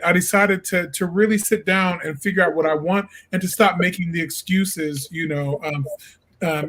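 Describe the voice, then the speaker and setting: Higher-voiced earnest male jazz singer. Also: a bit bright. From Illinois, USA. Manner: earnest, reflective, candid. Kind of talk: casual interview talk.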